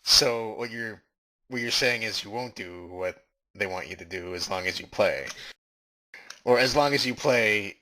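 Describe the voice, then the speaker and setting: A man's voice: lowish voice, measured pace, pushed and bright, poor audio. A man acting as an interviewer in a comedy skit, asking earnest, formal questions. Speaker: mock interviewer. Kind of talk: improvised mock interview.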